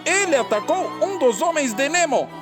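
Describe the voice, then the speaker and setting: A proud, imperious ship captain in an audio drama, with an extremely high voice. The delivery is stern, scolding and pompous, over faint music. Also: female voice, sing-song, moderate pace.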